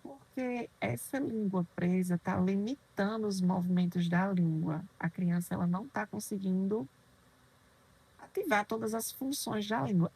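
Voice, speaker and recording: A woman's voice: mid-range voice, a little mellow, husky, poor audio. A woman lecturing on children's dentistry, explaining terms patiently and asking questions she then answers herself. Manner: didactic, poses questions and answers them, frequent "né?".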